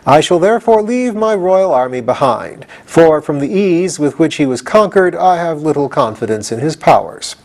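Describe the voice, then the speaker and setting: Storybook scarecrow monarch, played by a male narrator: lordly, eager, pitched up, grandly declamatory. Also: animated, moderate pace, few pauses, a little mellow. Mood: brisk, lordly. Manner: grand, declamatory, formal phrasing. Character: lively, pompous straw scarecrow king, eager and commanding. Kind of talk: storybook character reading.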